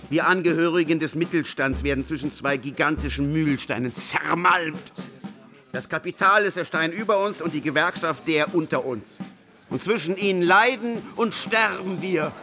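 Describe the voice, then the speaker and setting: An actor in a radio play playing a pompous, formal ex-president turned demagogue, with an oratorical way of speaking. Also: male voice, on the high side, sharp tone.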